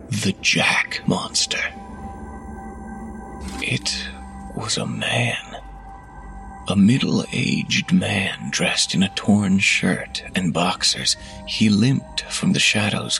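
A male narrator with a low, gravelly voice reads a horror tale at an unhurried pace. His delivery is measured and hushed, sinking to whispers at tense moments. Soft music sits faintly under the narration.

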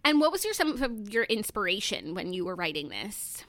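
A woman's voice: mid-range voice, big pitch swings, normal speed, a bit bright. A woman co-hosting a podcast in casual chat, earnest and enthusiastic. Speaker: podcast co-host.

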